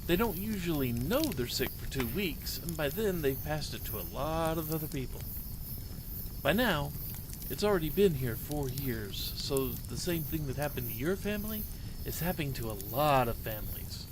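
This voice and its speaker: A man voicing a kindly young woman teacher in a story, gently explaining and coaxing. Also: fairly high pitch, sing-song, normal speed, background noise. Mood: patient, explaining. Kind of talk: storybook character voice in a reading.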